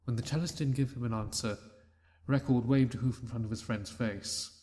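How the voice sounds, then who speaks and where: A man narrating a fan-written story in a steady reading voice. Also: medium pitch, moderate pace. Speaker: reader.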